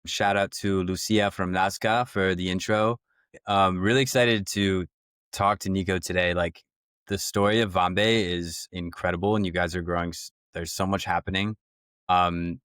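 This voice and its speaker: A man with a very deep, smooth voice hosts the interview, easygoing and full of "like" and "um". The recording is dry and close-miked. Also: moderate pace, no room sound. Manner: relaxed, filler-heavy, friendly questions. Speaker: podcast co-host. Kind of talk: casual interview hosting.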